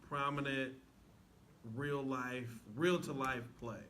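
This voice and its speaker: A man's voice: mid-range voice, steady tone, slow, a bit bright. A man talking casually and earnestly as an actor guest in an interview. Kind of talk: casual, earnest promotional talk. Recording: faintly noisy room recording.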